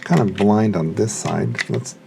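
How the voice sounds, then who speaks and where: A man's voice: sits low, sing-song, measured pace, vocal fry, background noise. A man giving casual hands-on unboxing commentary, muttering to himself as he works with his hands. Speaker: hobbyist reviewer.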